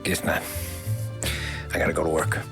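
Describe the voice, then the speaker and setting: A man's voice: moderate pace, natural voice. An actor playing a cool, terse American mob hitman in a game cutscene, talking in a dry, understated way. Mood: dry, resigned. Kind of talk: video game cutscene acting. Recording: loud music behind the voice.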